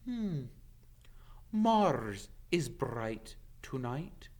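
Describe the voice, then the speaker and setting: A man's voice: medium pitch, very animated, very slow, darkish tone, voice pushed up. A man voicing a sorrowful, dreamy centaur in a storybook, grave and aloof, with a solemn, sighing, cryptic way of talking. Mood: mournful, faraway.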